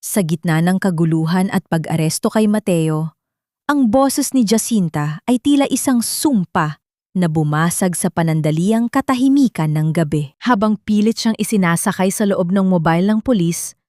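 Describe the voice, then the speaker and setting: A woman's voice: fairly low pitch, normal speed, a little mellow, dry recording, studio quality. A woman narrator reading a scripted audio drama in a dramatic storytelling style.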